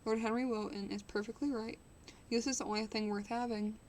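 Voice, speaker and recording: A woman's voice: fairly high pitch, fairly even intonation, brisk, a little mellow, a little hiss or hum, lightly acted. A woman voicing a vain young man who dreads growing old, reading in her own voice with small stumbles. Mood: bitter. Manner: reads with small stumbles and restarts. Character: young man, vain, anxious about aging.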